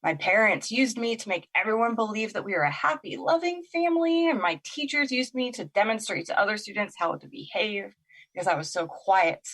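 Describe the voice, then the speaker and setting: A woman's voice: medium pitch, a little fast, fairly bright tone, lightly acted. A woman acting in an audio drama as a resentful, skeptical young woman, plain-spoken and bitter. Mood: bitter, hurt.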